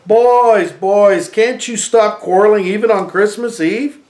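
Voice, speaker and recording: A man's voice: high-pitched, unhurried, a little mellow, echoey room, voice pushed up. A man reading a storybook voices a cross, exasperated aunt scolding children.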